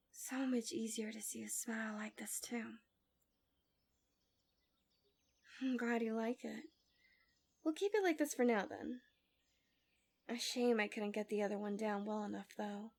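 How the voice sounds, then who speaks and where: A woman playing a smug, possessive female villain in an ASMR fantasy roleplay, speaking softly and coaxingly with insinuating formal wording, often dropping to a whisper. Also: a little high. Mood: doting, coaxing.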